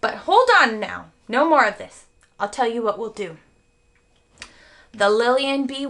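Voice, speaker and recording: A passionate storybook boat owner, a grown man played by a woman, talks in a bright, forceful voice. He is dramatic and grumbling, leaning hard on his words with big swings of pitch. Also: exaggerated voice.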